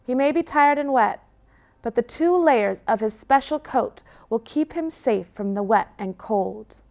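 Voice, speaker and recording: A woman voicing a firm, reassuring male husky pack leader in a children's story. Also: on the high side, lively intonation, measured pace, a little mellow.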